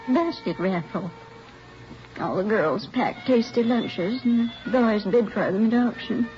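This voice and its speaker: A nostalgic elderly American mother, played by an actress, rambles gently in a fairly high voice. She is homely and talkative, in a muffled vintage radio drama with faint music. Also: measured pace, close to an everyday voice. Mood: fond, chatty. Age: older. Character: chatty, nostalgic elderly mother of a grown son. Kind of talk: 1950s radio drama acting.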